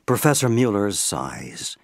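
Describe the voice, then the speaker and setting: A man narrating an audio comedy from a script, with deliberate, theatrical storytelling phrasing. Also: fairly low pitch, very animated, dry recording, clean studio sound.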